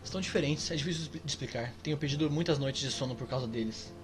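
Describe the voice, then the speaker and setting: Soft masked young man, troubled and quiet, game character, man reading the part, rapid delivery. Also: mid-range voice, lightly acted. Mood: weary.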